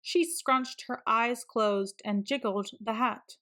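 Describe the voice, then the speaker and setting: A woman reading a children's storybook aloud in an expressive, deliberate way with dramatic emphasis. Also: fairly high pitch. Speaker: children's book reader.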